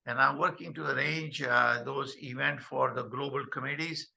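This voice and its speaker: An older man hosting a video call meeting, speaking haltingly with many fillers. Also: medium pitch, steady tone, creaky, pushed and bright, slight background noise. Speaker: host.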